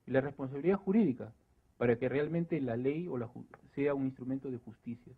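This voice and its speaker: A husky man of medium pitch gives analytical commentary as a debate panelist. He explains point by point in a measured way, and the sound is thin and phone-like. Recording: narrow, phone-like debate recording.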